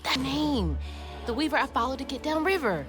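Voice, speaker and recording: Determined young heroine, music behind. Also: female voice, high-pitched, sing-song, normal speed. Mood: reflective, curious. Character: determined, earnest young female weaver searching for her mother. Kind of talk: video game voice acting.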